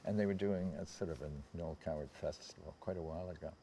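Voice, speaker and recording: An American man in his seventies reminiscing in anecdotes on a panel, haltingly, often trailing off and circling back. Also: deep voice, steady tone, warm tone, slightly rough. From United States. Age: 70s. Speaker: panel guest recalling personal memories. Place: seated on a theatre stage.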